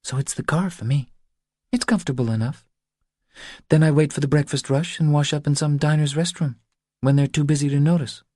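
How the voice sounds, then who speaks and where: A man's voice: fairly high pitch, steady tone, fairly quick, a little mellow, no echo, studio quality, pitched above the performer's own voice, close to an everyday voice. A man voicing an anxious, pleading young mother who is short of money, in audiobook dialogue. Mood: resigned. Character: anxious, cash-strapped young mother confiding her troubles.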